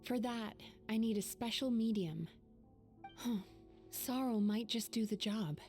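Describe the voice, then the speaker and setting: A wise young dream healer in a video game. The voice is soft-toned and slightly high, calm and explanatory, with faint music underneath. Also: female voice. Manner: measured, explanatory, composed.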